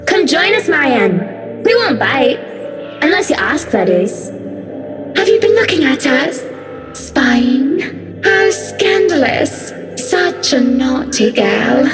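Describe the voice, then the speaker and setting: Horror game phantom: female, high, unhurried, seductively mocking and accusing, over music. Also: sing-song, heavily acted. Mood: teasing, mocking. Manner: theatrical, mocking, drawn-out delivery. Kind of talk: horror visual novel voice acting. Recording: background music under the voice.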